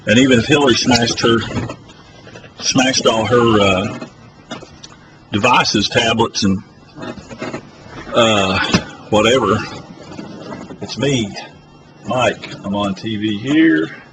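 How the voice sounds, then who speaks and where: Low-voiced male public access host, rambling and halting, noisy phone-camera audio. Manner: rambling, hesitant with many fillers. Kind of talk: rambling live phone-in broadcast.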